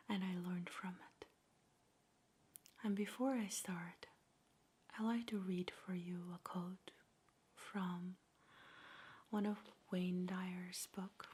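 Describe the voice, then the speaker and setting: A woman speaking in a soft, breathy whisper, slowly and gently guiding a meditation in an ASMR style, with measured pauses. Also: mid-range voice.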